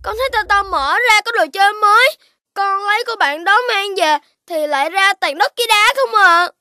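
Very high-pitched bratty cartoon puppy. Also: lively intonation, brisk, bright, forceful tone, no room sound, studio quality, exaggerated voice. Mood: whiny. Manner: exclamatory, childlike, pouty. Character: bratty, eager little puppy boy. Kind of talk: Vietnamese children's cartoon dubbing. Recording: music behind.

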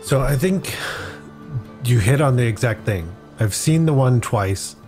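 A man's voice: medium pitch, lively intonation, a little slow, a little mellow. A man playing a tabletop role-playing game, thinking aloud through his moves. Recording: light music under the voice. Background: background music.

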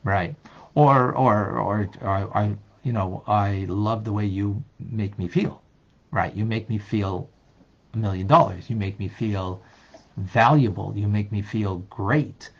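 An older rabbi teaches a class over a video call, his voice gravelly and husky. He talks in a searching, reflective way, full of restarts and fillers, and the webcam audio sounds a little dull. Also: on the low side, steady tone, takes it slowly. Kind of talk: interactive online Torah class. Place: office with desk.

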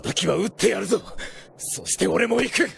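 A man's voice: high voice, normal speed, creaky. A man playing a fiercely loyal martial artist in an anime, strained and impassioned. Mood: desperate resolve. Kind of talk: anime battle acting. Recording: loud music over the voice.

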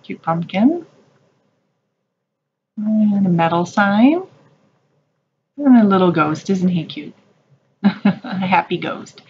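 A woman's voice: mid-range voice, animated, slow, long pauses, muffled recording, slight background noise. A woman narrating a casual shop-with-me video in a craft store, gushing and chatty about what she finds. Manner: gushing, chatty, calls things cute. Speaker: shopping vlogger. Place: craft store aisles, indoors.

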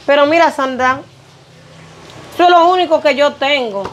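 A woman acting as a neighbor upset over being charged for a loan, talking with quick, aggrieved animation. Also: high voice, highs cut off, slight background noise, lightly acted.

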